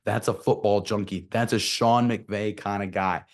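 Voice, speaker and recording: Hoarse low-voiced man giving sports opinions. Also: steady tone, measured pace. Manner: emphatic, repeats key phrases. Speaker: sports show co-host. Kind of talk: opinionated commentary.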